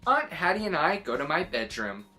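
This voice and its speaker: Female children's read-aloud host: low register, very animated delivery, expressive reactions, soft music underneath. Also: normal speed. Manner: exclaiming, expressive, adds little reactions. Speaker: children's story reader and host.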